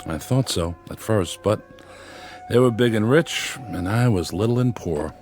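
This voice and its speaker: An actor playing a bitter, despairing newspaper editor in a radio drama, terse, weary and deadpan. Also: male voice, lowish voice, a little slow, over music. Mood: bitter, resigned.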